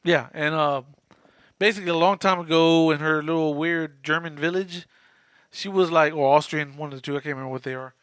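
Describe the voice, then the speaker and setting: A man's voice: fairly high pitch, steady tone, fairly quick, a bit bright, clear, resonant tone, no echo. A young man of Cuban background co-hosting a podcast, retelling a story loosely and casually. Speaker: podcast co-host. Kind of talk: casual chat.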